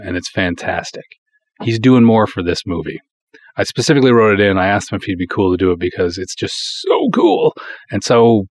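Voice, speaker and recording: A man hosting a podcast, giving an informal, conversational update in a casual monologue. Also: sits low. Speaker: podcast host and filmmaker.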